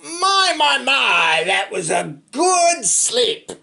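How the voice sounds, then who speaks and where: A reader voicing a polite, calm condemned man from a storybook, pleading gently and speaking without hurry. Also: sits high, very slow, creaky, exaggerated voice. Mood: contented. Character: condemned Chinese brother, polite, calm, cheerfully unharmed young man.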